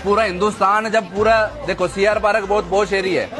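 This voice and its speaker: A man speaking in a street interview at a market, forceful and insistent. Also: sits high, fairly even intonation, a little fast, over music. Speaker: protester.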